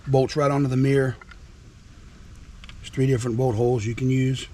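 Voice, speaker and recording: A man with a hoarse, worn voice from being sick, casually showing off truck parts with folksy enthusiasm and lots of repeats. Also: medium pitch, little pitch movement, normal speed, warm tone, slight background noise. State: hoarse from illness. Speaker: trucking vlogger. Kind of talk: casual product show-and-tell. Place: outdoors at a house.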